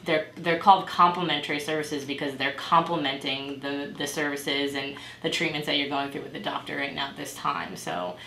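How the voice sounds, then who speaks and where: A woman playing a gentle, supportive patient navigator in a role-play, explaining in a conversational way. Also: low voice, fairly even intonation, normal speed, creaky, hardly any pauses, heavily acted. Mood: gentle, explanatory. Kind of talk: amateur role-play dramatization. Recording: echoey room with background noise.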